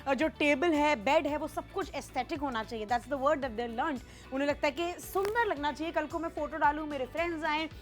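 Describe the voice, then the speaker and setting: A woman's voice: high-pitched, moderate pace, hardly any pauses, faint music behind. A young woman presenting a product on a home shopping show in a chatty, casual way, slipping English phrases into her talk. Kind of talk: teleshopping sales chat.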